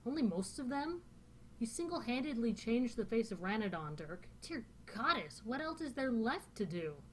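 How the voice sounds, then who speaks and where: A Northern Californian woman reading aloud the part of a suspicious, sharp-tongued young woman from a novel. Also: on the high side, sing-song, moderate pace, slight background noise, pitched above the performer's own voice. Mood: accusing.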